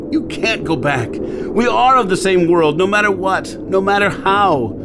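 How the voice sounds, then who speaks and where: An actor playing a lovestruck outlaw adventurer from Earth in a pulp radio drama, earnest and dramatic. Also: male voice, high-pitched, expressive, takes it slowly, noisy background. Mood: anguished, desperate. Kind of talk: first-person pulp radio drama narration and dialogue.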